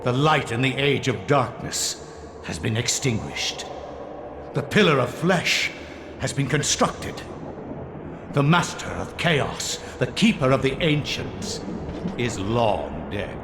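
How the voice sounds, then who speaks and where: An actor playing a zealous, sinister servant of dark gods in a video game, solemn and grandiose. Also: male voice, medium pitch, big pitch swings, slow. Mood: reverent, ominous. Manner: solemn, grandiose, incantatory delivery. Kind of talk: theatrical video game villain acting. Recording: reverberant, noisy game cutscene audio.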